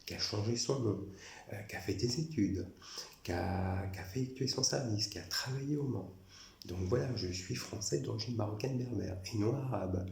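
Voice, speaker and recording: A low-voiced man from France talks in a long, rambling monologue, circling back over the same points with insistent repetition. His voice has a rough, gravelly fry, and the room around him rings with echo. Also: moderate pace, a little mellow, a little hiss or hum. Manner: repetitive, insistent, restarts phrases. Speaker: former prison guard recounting grievances.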